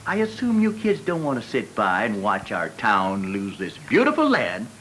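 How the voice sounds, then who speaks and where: An older American retired teacher in a 1980s educational film, kindly and patient as he explains. His pitch swings widely and his tone is bright and forceful, over a faint hiss of film noise. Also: wildly swooping pitch, moderate pace. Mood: earnest, encouraging. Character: kindly, encouraging retired schoolteacher, older man, neighborly mentor. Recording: faintly noisy 1980s 16mm film soundtrack.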